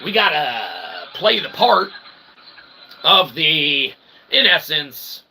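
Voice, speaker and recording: Creaky-voiced man rambling over background noise. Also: fairly high pitch, huge pitch swings, drawn-out words, bright, forceful tone. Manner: mock-formal wordy phrasing mixed with crude swearing; punctuates statements with short tag questions. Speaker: solo streamer and channel host. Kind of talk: rambling comedic pseudo-philosophical monologue. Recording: clearly noisy, with ambient background sound.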